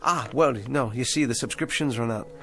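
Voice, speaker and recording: A man's voice: medium pitch, brisk, music in the background, natural voice. An actor playing a down-on-his-luck male ventriloquist who talks in an eager, fretful, anxiously upbeat way. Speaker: struggling ventriloquist. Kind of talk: 1960s television drama acting.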